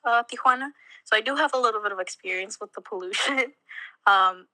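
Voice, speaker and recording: A woman's voice: a little high, a little fast, pushed and bright, no echo, rough recording. A young woman from Mexico, a college student chatting casually in an interview, with lots of fillers and little laughs. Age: young adult. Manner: chatty, many fillers, laughs between words.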